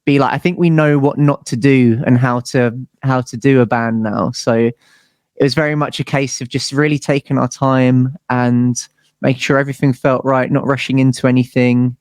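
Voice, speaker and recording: A man's voice: mid-range voice, steady tone, normal speed, darkish tone, no echo. A man with a British accent in a casual interview, telling stories as a musician in a rambling, informal way. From United Kingdom.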